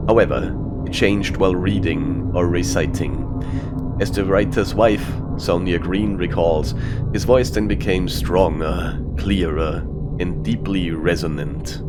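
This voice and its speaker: A man giving casual gameplay commentary, reading text aloud and muttering asides to himself as he plays. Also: sits low. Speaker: game streamer. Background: game music.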